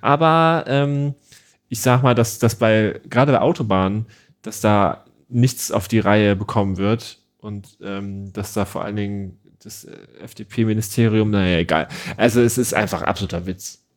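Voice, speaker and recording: A young man co-hosts a podcast in a relaxed, chatty way. He speaks somewhat low, gives his opinions bluntly, and drops in plenty of fillers along the way. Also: moderate pace. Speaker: podcast co-host. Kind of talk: casual podcast chat.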